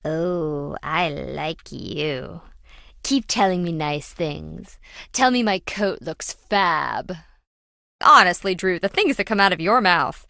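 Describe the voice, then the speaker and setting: A woman's voice: on the low side, takes it slowly, vocal fry, bright, forceful tone, dry recording, clean studio sound, exaggerated voice. An actress playing a greedy, sarcastic treasure-hunting businesswoman in a video game, blunt and dismissive. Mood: scornful. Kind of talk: video game character dialogue.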